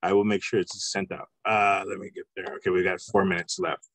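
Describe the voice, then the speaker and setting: A man teaching an introductory Samoan language lesson online, explaining at a relaxed pace with frequent ums and uhs. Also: low voice, fairly quick, vocal fry, no echo. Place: home, online video call.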